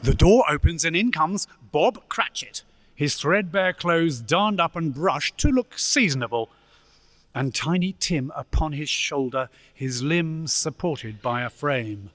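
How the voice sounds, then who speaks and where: A man playing a storyteller, narrating a Victorian tale with theatrical, deliberate delivery in an echoey church. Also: a little high, expressive, fairly bright tone. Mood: measured. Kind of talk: dramatic scripted narration. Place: church, live performance. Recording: echoey church hall, long reverb.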